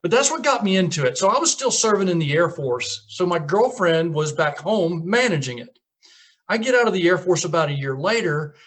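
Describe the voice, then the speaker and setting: A man's voice: a little high, a bit bright. A man in his fifties from Tennessee with a Southern accent, telling stories as a guest on a radio talk show. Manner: folksy storytelling, chuckles at own lines. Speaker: guest property manager. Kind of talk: casual radio interview.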